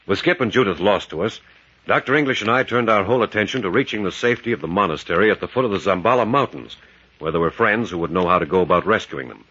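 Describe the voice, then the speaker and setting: An actor playing a bold, intense adventurer captain, narrating and acting dramatically in 1940s radio style. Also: male voice, sits low, animated, fast talker, pushed and bright, slightly rough, full character voice. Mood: grave. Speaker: hero and narrator. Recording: dull, noisy vintage radio recording.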